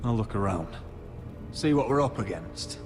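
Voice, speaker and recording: An actor playing a guarded, resolute young nobleman in a fantasy video game, speaking in a firm, measured way. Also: on the low side, expressive, lightly acted. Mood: low, concerned. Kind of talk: dramatic video game acting. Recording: reverberant hall under clear music. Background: background music.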